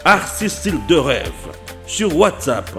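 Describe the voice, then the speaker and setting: A man's voice: a little high, very animated, slow. A man reading a promotional shop advertisement in a formal, selling tone. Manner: formal, promotional address to listeners. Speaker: radio ad announcer. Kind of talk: scripted advertisement read. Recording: voice-over with loud backing music.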